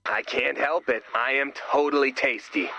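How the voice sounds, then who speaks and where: Bright, creaky, goofy sidekick. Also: male voice, medium pitch, steady tone, moderate pace, highs cut off, slight background noise. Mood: earnest. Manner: earnest, guileless. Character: naive, good-natured goofy male sidekick. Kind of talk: video game character voice acting.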